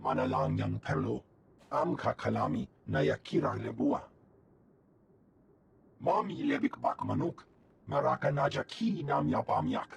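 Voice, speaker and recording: Croaky alien guy from a game, super gravelly, made-up language, bit of background noise. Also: fairly low pitch, normal speed, long pauses. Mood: matter-of-fact. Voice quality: gravelly, creaky. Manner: speaks an invented alien tongue. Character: guttural male alien trader. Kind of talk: video game creature voice.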